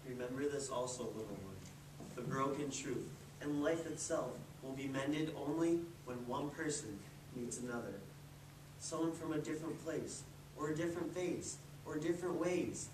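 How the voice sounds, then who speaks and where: Wise ancient storybook turtle male performer, gentle and grave, poetic delivery; noisy, distant recording. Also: a little high, unhurried, lightly acted. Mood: solemn, kindly. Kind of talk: readers theatre storybook performance. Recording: roomy, distant mic with clear noise.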